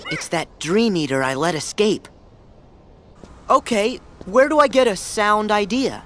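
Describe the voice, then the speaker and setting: An actor voicing an earnest, excitable teenage boy hero in a video game, eager and sometimes hesitant. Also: mid-range voice, a little slow, a little hiss or hum. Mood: eager, determined.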